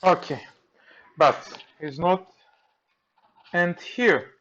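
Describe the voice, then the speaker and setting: A man with a Greek accent, speaking English as a second language, walks through a repair and thinks aloud in halting, simple sentences. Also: on the high side, fairly bright tone, highs cut off. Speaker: repair technician. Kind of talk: hands-on repair tutorial. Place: workbench.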